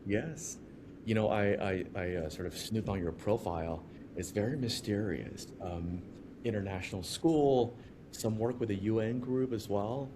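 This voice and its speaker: Low-voiced male podcast host, noisy recording. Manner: halting, informal, conversational. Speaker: podcast host, company co-founder. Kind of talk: casual interview hosting.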